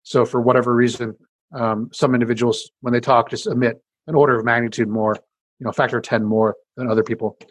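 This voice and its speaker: A man with a deep, husky voice explains his research at a quick clip. He is a scientist being interviewed, talking casually, full of fillers and self-corrections. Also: little pitch movement, dry recording.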